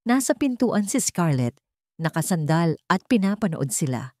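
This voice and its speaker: A Filipino woman reads scripted romantic fiction as a storyteller. Her voice sits fairly low, and the sound is dry, with almost no room around it. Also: sing-song, normal speed, a little mellow, no echo.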